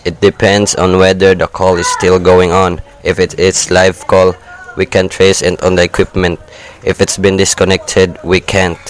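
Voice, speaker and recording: A man playing an unruffled, bureaucratic chief telephone operator in an amateur radio play, measured and matter-of-fact. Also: low voice, monotone, close to an everyday voice, low-quality recording. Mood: calm, official.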